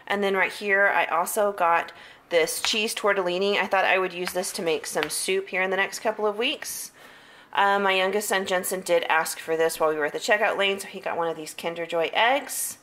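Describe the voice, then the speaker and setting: Bright-voiced chatty woman vlogger. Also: mid-range voice, a little hiss or hum. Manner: chatty, upbeat, repeats words for emphasis. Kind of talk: casual grocery haul chat. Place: home hallway and kitchen, indoors.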